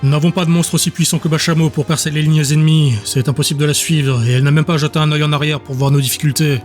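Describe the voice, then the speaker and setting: A man's voice: a little high, steady tone, fast talker, darkish tone, faint music behind, close to an everyday voice. A man playing a frustrated but respectful soldier reporting to his superior in an audio drama. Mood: exasperated.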